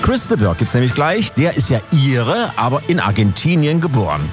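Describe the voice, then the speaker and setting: A middle-aged male radio host from Thuringia talks with a very animated, swinging intonation over a clear music bed. He is chatty and upbeat, happy to poke fun at himself. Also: mid-range voice, normal speed. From Thuringia, Germany.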